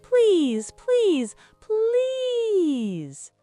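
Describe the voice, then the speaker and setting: Woman voicing an excitable, high-pitched little girl. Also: drawn-out words, no room sound, faint music behind, full character voice. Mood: pleading. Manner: squealing, exclaiming, begging. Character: excitable, pampered little girl, bubbly and pleading.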